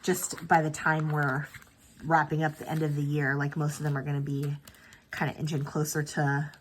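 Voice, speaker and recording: A woman with a low voice talks through her planner in a relaxed, rambling way, full of ums and quick self-corrections. Her nose is stuffy from the tail end of a cold. A faint hiss sits under the recording. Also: low-quality recording. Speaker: planner sticker channel host. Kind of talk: casual planning vlog chat.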